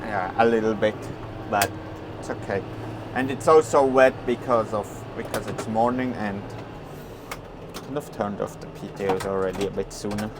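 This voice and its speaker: A man whose native tongue is Swiss German, talking casually in a rambling, halting way with many fillers as he mows in a tractor cab, on a clip-on mic. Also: lowish voice. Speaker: farmer vlogger. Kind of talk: casual talk while mowing in a tractor. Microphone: wireless clip-on mic. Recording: clear engine noise behind voice. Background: tractor engine noise.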